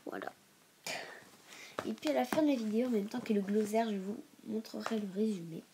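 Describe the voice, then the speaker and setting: A woman's voice: medium pitch, a little fast, background noise. A woman with a stuffy nose from a cold hosting a casual educational video, reading aloud and breaking into informal asides as she stumbles over long words. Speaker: video host.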